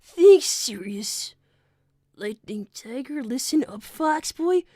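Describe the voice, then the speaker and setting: A woman's voice: high-pitched, animated, takes it slowly, dark tone, no echo, clean studio sound, heavily acted. A woman voicing an anxious, earnest young boy fox who stammers defensively on a character livestream. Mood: conversational. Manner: stammering, flustered. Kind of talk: improvised character livestream with donation reading.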